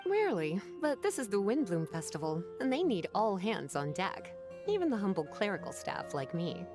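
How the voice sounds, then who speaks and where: A woman's voice: medium pitch, sing-song, normal speed, over music, natural voice. A woman playing a sultry, teasing librarian in a video game, coy, languid and playful.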